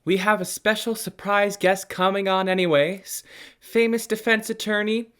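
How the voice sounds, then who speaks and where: Young student doing a smooth nosy tv doctor hosting a family feud show, radio play, middling pitch. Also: fairly even intonation, moderate pace, a bit bright, close to an everyday voice. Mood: showy, steering. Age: teenager or young adult student. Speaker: talk show host.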